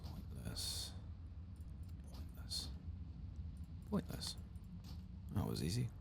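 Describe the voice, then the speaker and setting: A man with an extremely low, crackling voice hosts a gaming ASMR session. He speaks softly and unhurriedly, sometimes slipping into a whisper, in a hushed mutter. Noise is clearly present behind him. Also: very animated, very slow. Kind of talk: soft-spoken, whispered ASMR game playthrough.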